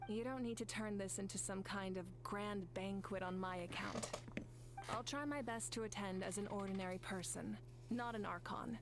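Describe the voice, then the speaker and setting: A woman voicing a gracious, modest young deity ruler in a video game, calm and measured. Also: mid-range voice, very noisy, lightly acted.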